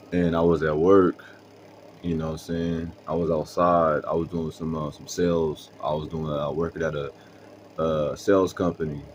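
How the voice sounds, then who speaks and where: A young man with a very deep voice tells a story in casual slang, rambling with lots of fillers. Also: a little mellow, a little hiss or hum. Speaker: vlogger.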